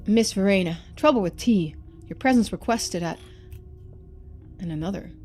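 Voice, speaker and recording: A woman streamer reading a story game aloud in expressive narration, with casual asides. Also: medium pitch, normal speed, soft background music.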